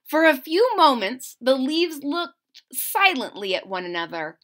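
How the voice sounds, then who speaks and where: Slow, high-pitched woman reading to children. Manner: expressive, asks the listeners questions. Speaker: storybook reader. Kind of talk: children's read-aloud with asides to listeners.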